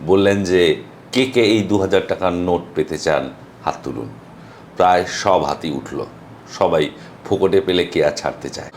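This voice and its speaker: A man with an Indian Bengali accent delivering a motivational monologue as a storyteller, earnest and emphatic, with dramatic pauses and some English phrases mixed in. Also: sits low, slight background noise. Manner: mixes English phrases into speech. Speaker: motivational vlogger.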